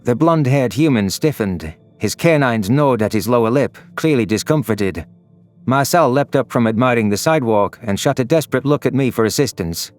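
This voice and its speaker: Mid-pitched male sci-fi story narrator. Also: lively intonation, normal speed, darkish tone. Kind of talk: scripted first-person sci-fi narration. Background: background music.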